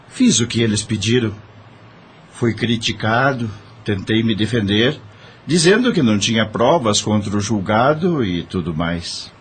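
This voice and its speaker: A man's voice: on the low side, a little hiss or hum. An actor playing a once-proud corrupt judge in an audio drama, confessing his misdeeds with remorse in a dramatic, sighing manner.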